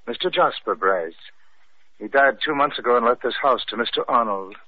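A stiff, disapproving old butler acted by a man from a radio mystery. He is formal and deferential, speaking in a medium-pitched voice. The recording is thin and phone-like. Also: expressive, normal speed, no room sound, natural voice. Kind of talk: old-time radio acting.

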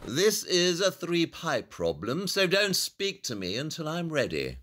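A man's voice: on the high side, huge pitch swings, a little slow, a bit bright, exaggerated voice. A man playing an aloof, cerebral master detective in an audio play, speaking in a pompous, wry and clipped manner. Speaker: actor. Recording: noisy background.

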